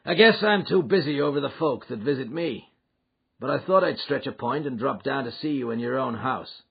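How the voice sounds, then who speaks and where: A man's voice: medium pitch, expressive, moderate pace, vocal fry, breathy edge, lo-fi sound, voice pushed up. A man playing a huge, menacing, blunt American lodge boss who talks in folksy threats in an audiobook. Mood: gruff affable. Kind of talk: audiobook character acting.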